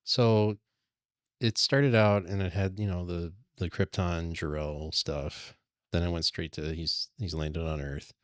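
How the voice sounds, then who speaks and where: A man with a deep voice talks casually as a podcast panelist. He is recorded dry, with little sense of the room around him. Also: steady tone, unhurried, dry recording. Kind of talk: casual chat.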